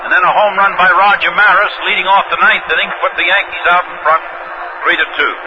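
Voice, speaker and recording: A man doing measured, descriptive live play-by-play of a baseball game as a 1960s radio announcer, often restating details. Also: high voice, fairly even intonation. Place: live at a ballpark during a game. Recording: noisy, muffled vintage 1960s radio broadcast.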